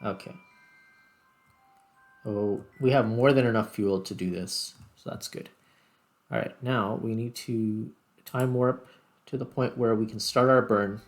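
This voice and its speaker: A man with a fairly low voice gives relaxed, casual let's play commentary. He thinks aloud one step at a time as he plays. Faint music sits behind his voice. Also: measured pace, long pauses. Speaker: gaming video host. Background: background music.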